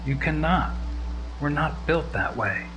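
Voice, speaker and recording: Story heroine, acted by a male reader: wounded, terse, strained, defiant, raised pitch over music. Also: animated, unhurried. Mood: weary refusal. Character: wounded, weary, defiant human woman survivor.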